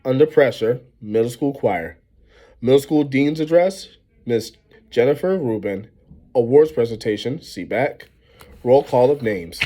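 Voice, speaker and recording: A man reading a children's novel aloud in an animated way, as a storyteller acting out the dialogue. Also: medium pitch, unhurried. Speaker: reader reading a book aloud.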